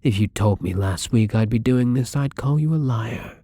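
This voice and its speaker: A man playing a newly turned, gentle and hesitant vampire in an intimate ASMR roleplay, speaking softly and haltingly with frequent whispers. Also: medium pitch, lightly acted. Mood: nervous, gentle. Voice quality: breathy, husky. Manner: soft, halting, often dropping into whispers.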